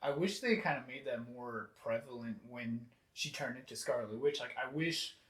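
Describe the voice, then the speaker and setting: A man's voice: mid-range voice, moderate pace, fairly bright tone. A young man talking casually on a film review panel, rambling with many fillers. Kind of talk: casual group chat. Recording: roomy echo.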